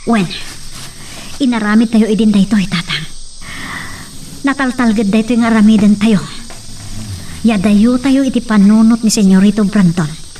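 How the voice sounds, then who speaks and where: A woman's voice: medium pitch, measured pace, long pauses, mellow, natural voice. A woman with an Ilocano Filipino accent playing an earnest, resolute daughter in a radio-style drama. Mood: determined. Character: resolute daughter planning a defence with her father. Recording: distant mic, faint background noise with insects.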